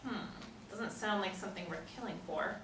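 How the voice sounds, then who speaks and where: A woman's voice: mid-range voice, brisk, lightly acted. Actress doing fed up blunt woman in a drama read, echoey, bit distant from mic. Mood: suspicious. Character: impatient, exasperated woman drawn into a mystery. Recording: echoey room, distant mic, faint noise.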